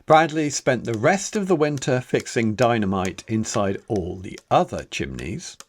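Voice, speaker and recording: A man reading a children's picture book aloud as its author, in a dramatic, deliberate storytelling manner with emphatic pauses. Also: medium pitch, animated. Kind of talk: expressive children's story narration.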